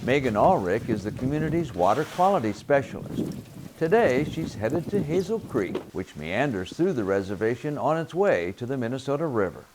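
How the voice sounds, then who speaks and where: A man narrating a television documentary in a measured, expressive way. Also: medium pitch, background noise.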